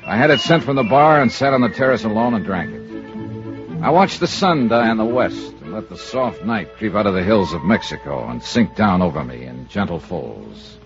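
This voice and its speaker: An American actor playing a sardonic investigator in a 1950s radio drama, with wry, clipped noir delivery. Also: male voice, on the low side, big pitch swings, moderate pace. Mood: brooding narration. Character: sardonic, cool insurance investigator in his prime. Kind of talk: 1950s radio detective acting and narration. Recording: dull vintage radio recording, music behind.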